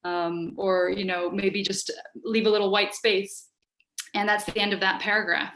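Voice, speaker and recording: A woman with a middle-pitched voice and Hungarian as her mother tongue speaks informally on a video call. She is thoughtful and halting, thinking aloud as she explains her work as a writer. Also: little pitch movement, fairly bright tone, slight background noise, poor audio. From United States, Hungarian-speaking home. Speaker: writer giving an online workshop. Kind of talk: informal talk answering questions. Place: book-lined room at home. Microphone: video call, wearing headphones.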